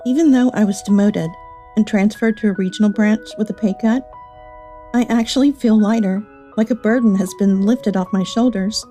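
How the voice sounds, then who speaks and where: A woman plays an earnest young office daughter-of-the-boss in a manga drama. Her medium-pitched voice is soft and mellow, with light music beneath. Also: moderate pace, natural voice. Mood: resolute. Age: young adult. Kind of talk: manga dub voice acting.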